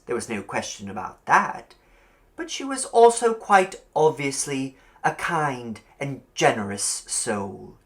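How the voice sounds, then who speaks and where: A male audiobook narrator reads fiction at a slow, measured pace. His pitch moves widely, giving the scripted storytelling a dramatic, expressive shape.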